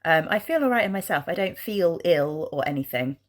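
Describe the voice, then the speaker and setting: A woman vlogging in a chatty, rambling way, her voice husky from congestion. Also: fairly low pitch, expressive, fairly quick. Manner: rambling, chatty, with many ums. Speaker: craft vlogger. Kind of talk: casual vlog chat. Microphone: handheld camera.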